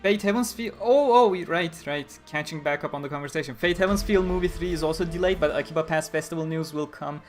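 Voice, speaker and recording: Rambling Turkish-accented streamer, faint music. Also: male voice, a little high, brisk, few pauses. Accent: Turkish-accented English. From Turkey. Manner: rambling, reflective, frequent restarts. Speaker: livestream host. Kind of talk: casual livestream chat.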